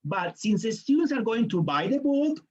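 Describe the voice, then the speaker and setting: A man's voice: extremely high pitch, sing-song, moderate pace, highs cut off. Colombian man leading a teaching workshop. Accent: Colombian Spanish. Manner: explains step by step, briefly voicing students and parents. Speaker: teacher trainer. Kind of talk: online workshop lecture.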